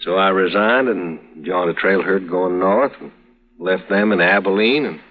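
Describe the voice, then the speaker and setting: An actor playing a smooth-talking, wounded drifter with an easy drawl, on a dull old radio recording. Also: male voice, low-pitched, measured pace. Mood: easygoing.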